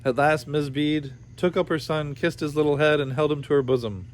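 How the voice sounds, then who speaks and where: A man reading a storybook aloud with expressive narration. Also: a little high, a little fast, a little hiss or hum. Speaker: storybook reader.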